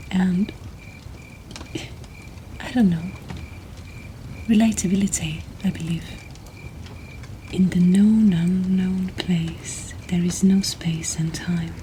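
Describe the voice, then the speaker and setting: A woman whispers in a breathy, soothing and intimate way, hosting and reading poetry in an ASMR style. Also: lowish voice, warm tone, a little hiss or hum, poor audio. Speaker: host of a poetry reading series.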